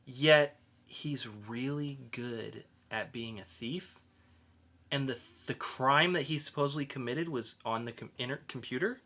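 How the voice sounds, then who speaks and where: A man with a bright, forward voice talks casually about films as a podcast host. He is opinionated and likes to explain his reasoning, at an average pitch for a male speaker. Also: a little slow. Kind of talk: casual film review chat.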